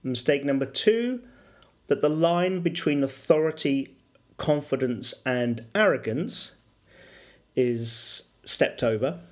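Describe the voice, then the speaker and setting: A middle-aged man hosting a solo business podcast, explaining at a measured pace with fillers and self-corrections. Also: medium pitch, lively intonation. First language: English. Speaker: podcast host and business English coach.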